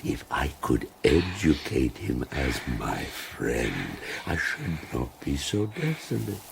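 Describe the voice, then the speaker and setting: A man's voice: very deep voice, wildly swooping pitch, slow, vocal fry, slight background noise, exaggerated voice. An actor in a gothic radio drama playing a tormented, deformed man-made monster, lonely and vengeful, eloquent and theatrical. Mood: desolate brooding. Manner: brooding, eloquent, theatrical, dropping into whispers.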